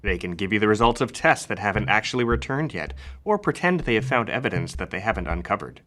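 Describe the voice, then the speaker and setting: An actor playing a measured true-crime narrator, reading scripted explanation in an audio drama. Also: male voice, fairly low pitch, sing-song, fairly bright tone, faint music behind. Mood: sober, ominous.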